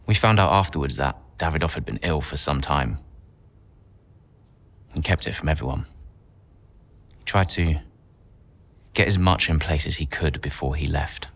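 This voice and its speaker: An actor playing a grave racing team insider in a video game, giving a measured, documentary-style interview. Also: male voice, a bass voice, a bit bright, full character voice. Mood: sombre. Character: grave male team insider recounting hard times.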